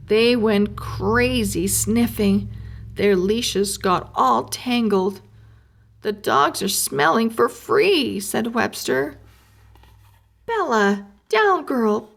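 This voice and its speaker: A woman reading a children's chapter book aloud, slow and expressive, raising her voice for the characters' lines. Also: a little high, gravelly. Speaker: read-aloud narrator. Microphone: close mic, camera over an open book.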